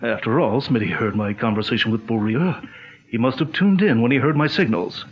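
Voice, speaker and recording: An actor playing a steady, commanding male adventurer leading an expedition, in a measured 1940s radio drama style. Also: medium pitch, slightly rough, soft background music. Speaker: expedition leader. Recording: dull lo-fi old radio sound.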